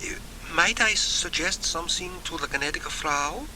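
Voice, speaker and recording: A man's voice: on the high side, a little slow, pitched above the performer's own voice, natural voice. A man voicing a shy, eager middle-aged foreign woman with hesitant, coaxing phrasing, as an audiobook character. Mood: shyly eager. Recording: noisy old cassette transfer.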